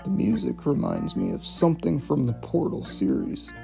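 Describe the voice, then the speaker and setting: A man's voice: fairly high pitch, sing-song, normal speed, vocal fry, mellow, faint music behind. A man hosting a casual video essay on horror clips, speaking in an informal, opinionated way with plenty of swearing. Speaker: host of a video series reviewing analog horror.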